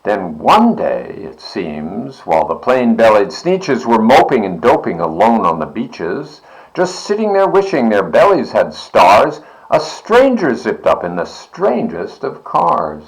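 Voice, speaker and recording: A man's voice: on the low side, huge pitch swings, measured pace, low-quality recording. A man reading a children's storybook aloud expressively, with dramatic, rhythmic verse delivery. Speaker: library board member reading aloud.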